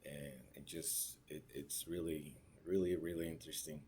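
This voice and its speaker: Guy in his 30s, really low voice, halting class speech. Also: steady tone, warm tone, husky. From Mexico (raised in Ciudad Juárez). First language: Spanish. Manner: halting, searching for words, tag questions. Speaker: registered nurse.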